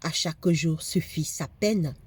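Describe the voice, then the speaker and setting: A woman's voice: deep voice, a little slow, darkish tone, breathy edge. Fervent woman preacher with a cold. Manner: fervent preaching, repeats phrases for emphasis. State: has a cold, congested. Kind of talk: sermon.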